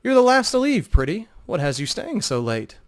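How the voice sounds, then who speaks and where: A man doing a hearty, animated charming bard. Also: sits high, normal speed, voice pushed up. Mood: charming, flirtatious. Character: charming, well-travelled male bard, flirtatious and merry. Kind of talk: dramatic fantasy audiobook reading.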